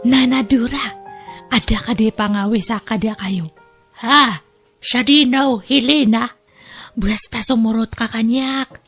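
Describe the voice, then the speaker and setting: A woman playing a pushy, money-minded friend in a family radio drama, coaxing and bossing with drawn-out words. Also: on the high side, fairly bright tone, faint music behind.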